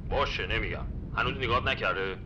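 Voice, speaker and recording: A man's voice: on the low side, lo-fi sound, background noise, close to an everyday voice. An actor playing an impatient man who teases his friend while they wait together.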